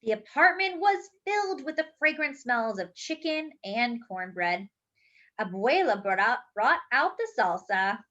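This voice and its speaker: Storybook reader, female. High-pitched, lively and expressive, acts out dialogue. Lo-fi sound. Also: unhurried. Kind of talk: expressive picture-book read-aloud with chatty asides. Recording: dull lo-fi recording.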